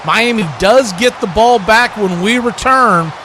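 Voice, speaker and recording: A man's voice: high voice, measured pace, background noise. A man doing live play-by-play football commentary as the announcer.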